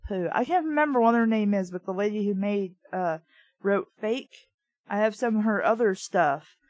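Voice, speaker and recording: A woman's voice: mid-range voice, no room sound, lo-fi sound. Young woman with dry, close sound, casual and blunt. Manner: blunt, opinionated, swears freely. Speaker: host reading a children's book aloud with commentary. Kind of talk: casual commentary interspersed with read-aloud.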